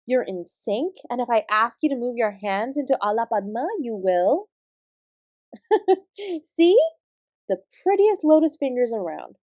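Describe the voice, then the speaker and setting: A woman's voice: a little high, lively intonation, unhurried, darkish tone, no echo. A woman acting in a roleplay audio drama as an apsara who works as an instructive, composed dance teacher. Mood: reassuring. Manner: encouraging, instructive, addressing a class. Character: female apsara dance teacher, warm, encouraging, defensively composed.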